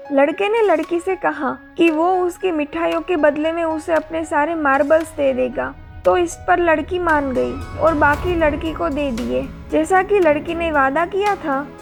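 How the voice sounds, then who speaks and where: Very high, creaky woman storyteller over music. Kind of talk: scripted short-story narration. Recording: music behind the voice.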